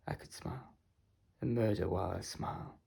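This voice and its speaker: Man acting a hushed, scheming Shakespearean villain. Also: sits low, moderate pace, creaky, slightly rough, exaggerated voice. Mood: cold menace. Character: quiet, menacing, scheming male Shakespearean villain.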